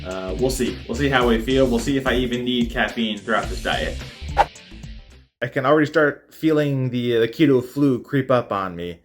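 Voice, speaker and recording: A man's voice: medium pitch, moderate pace, music in the background. Man vlogging his diet, casual. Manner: candid, self-reporting how he feels. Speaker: fitness vlogger. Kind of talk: casual diet vlog narration.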